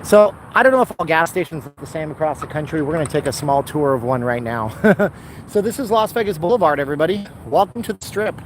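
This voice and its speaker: A man chatting casually as he walks and live streams on his phone, rambling and answering chat comments, with a dry throat he keeps clearing. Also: a little high, lively intonation, fast talker. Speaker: vlogger live streaming a city walk. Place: outdoors by a busy boulevard. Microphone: handheld phone. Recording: outdoor phone recording with traffic noise. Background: passing cars and street traffic.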